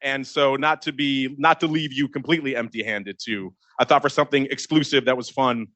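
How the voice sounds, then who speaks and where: Bright, teasing podcast host man. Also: mid-range voice, steady tone, a little fast, no echo. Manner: jokey, teasing, gives guests nicknames. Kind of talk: live options podcast banter. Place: crowded live event room.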